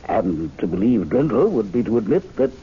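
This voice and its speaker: A reflective, formal club gentleman speaks in a radio mystery play. He is measured in wording but lively in intonation. The recording is dull and slightly noisy. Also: lowish voice. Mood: sober, doubting. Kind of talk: radio mystery drama acting.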